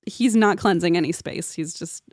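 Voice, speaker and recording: A woman chatting casually and telling personal stories in an animated, self-mocking, informal way on a podcast. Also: lowish voice, moderate pace, dry recording, clean studio sound. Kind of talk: casual comedic storytelling chat.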